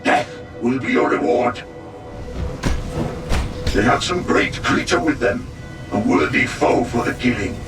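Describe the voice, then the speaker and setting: A proud, ferocious wolf lord played by a male actor rallies warriors in grand video game style. His gravelly voice swings widely in pitch and echoes from a distance under very loud music. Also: animated, unhurried, pauses often, lightly acted. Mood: battle fury. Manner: grandiose, battle-hungry, commanding declamation. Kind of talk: dramatic video game voice acting.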